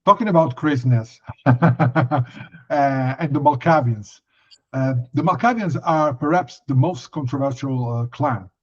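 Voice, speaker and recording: A man's voice: medium pitch, measured pace. A man working as an interviewer, asking questions conversationally, heard through dull, lo-fi audio.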